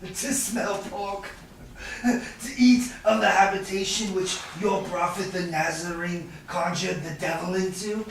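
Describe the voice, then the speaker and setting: Actor as sardonic stage moneylender, slow, toying, chuckling delivery, gravelly edge, very echoey room. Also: male voice, sits high, fairly even intonation. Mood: mocking. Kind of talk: staged reading of Shakespearean drama. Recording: distant echoey room with faint noise.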